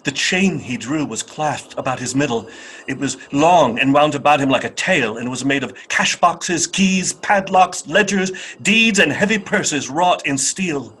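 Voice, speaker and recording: Husky male storyteller, theatrical. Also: fairly high pitch. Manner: theatrical, expressive, deliberate phrasing. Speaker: narrator. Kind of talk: dramatic storytelling narration for a radio play.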